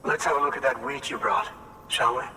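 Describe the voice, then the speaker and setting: An actor playing a hardworking, hopeful farm leader in a video game, speaking in a folksy, earnest, measured way. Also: male voice, steady tone, pushed and bright. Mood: friendly, earnest. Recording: long hall reverb, faint rumbling noise.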